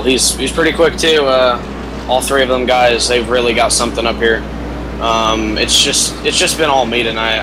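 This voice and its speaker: A racing driver, a man with a mid-pitched voice, answers questions modestly and plainly, with many fillers. The line is noisy, with steady hiss under his words. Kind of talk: casual mid-race interview answers.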